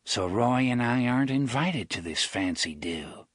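A man voicing a laconic outlaw henchman who speaks tersely and slowly. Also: medium pitch, lively intonation, a bit bright, husky, dry recording, studio quality. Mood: dry. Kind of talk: audiobook character voice.

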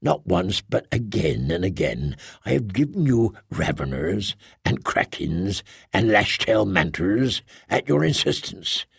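Guy doing a low gravelly deadpan giant, slow and formal, super dry recording. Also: dry recording, heavily acted. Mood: solemn. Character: ponderous solemn male giant trader.

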